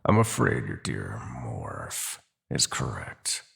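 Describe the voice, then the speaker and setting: A profoundly deep, husky villain god of nightmares for an audio roleplay. He gloats in slow, silky, mocking threats, his pitch sweeping widely over a gravelly bottom. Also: wildly swooping pitch, a bit bright, exaggerated voice. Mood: smug, cruel. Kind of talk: roleplay audio drama acting.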